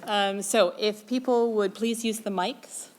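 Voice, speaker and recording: A woman's voice: mid-range voice, steady tone, a little slow, slight background noise. A woman moderating the event, graciously thanking the speaker and opening the floor for questions.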